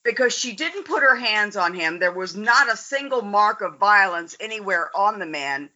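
An American woman on a podcast, speaking with measured, wry dryness. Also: mid-range voice, lively intonation, pushed and bright, muffled recording. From United States. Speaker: podcast guest, lawyer. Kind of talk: casual podcast chat.

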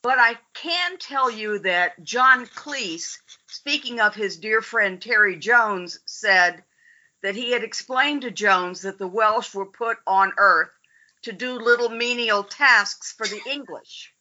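American woman, measured and dry, bright tone, muffled recording. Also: fairly high pitch. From United States. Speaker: podcast guest, lawyer. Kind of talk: casual podcast chat.

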